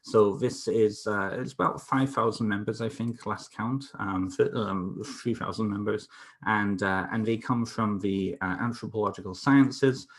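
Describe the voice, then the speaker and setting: British man, lowish voice, hesitant, many ums, computer mic on a video call. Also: steady tone, a little slow. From North Wales. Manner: hesitant, many fillers and restarts. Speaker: counsellor presenting a folklore talk. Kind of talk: live online presentation.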